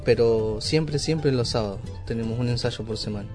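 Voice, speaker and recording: Musician guy chatting in interview, middle pitch, halting plain talk, guitar music clearly behind him. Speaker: band member being interviewed. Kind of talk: casual radio interview chat. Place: radio studio.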